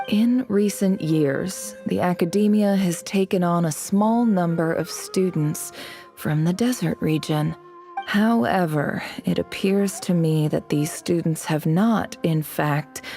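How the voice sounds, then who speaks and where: A woman's voice: fairly low pitch, gravelly, mellow. A woman playing a disillusioned young academy student in a video game, talking in a wry, musing way. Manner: musing aloud, wry, reading documents out. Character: disillusioned young female academy student from the desert, cynical but curious. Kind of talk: video game dialogue acting.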